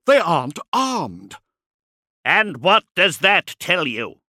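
A man voicing a panicking male high lord in an audiobook, rasping and strained as he screams frantic demands. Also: very animated, takes it slowly, sharp tone, no room sound, clean studio sound, full character voice. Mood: hysterical panic.

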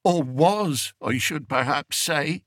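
A timid old shepherd, as a man puts him on, wheezing out his words in a thick rustic dialect. His voice rides high and husky, pleading and close to tears. It sits in a dry storybook reading. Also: slow, a bit bright, no room sound. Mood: pleading, tearful. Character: frightened tearful old male shepherd, rustic.

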